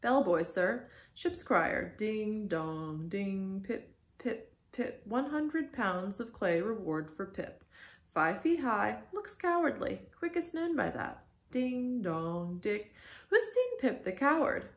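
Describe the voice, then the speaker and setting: A pitiful young cabin boy, played by a woman, half out of his wits, babbling in a high, sing-song way. The room echoes around him. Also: very animated, slow. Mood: sing-song, crazed.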